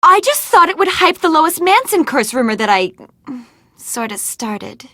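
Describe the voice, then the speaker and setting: A woman's voice: extremely high pitch, sing-song, unhurried, bright, forceful tone, no echo, studio quality, heavily acted. A woman voicing a vain, ditzy young movie actress in an adventure game, gushing and theatrical in a gossipy way. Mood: sheepish boasting. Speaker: video game voice actor. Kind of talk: animated adventure game dialogue.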